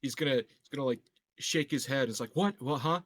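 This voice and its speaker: A man's voice: mid-range voice, fairly quick, a bit bright. A man playing a tabletop game, chatting casually and jokingly with the group.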